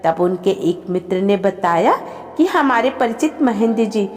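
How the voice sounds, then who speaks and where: A woman's voice: mid-range voice, animated, measured pace, big-room sound. A woman narrating a scripted family story as a storyteller.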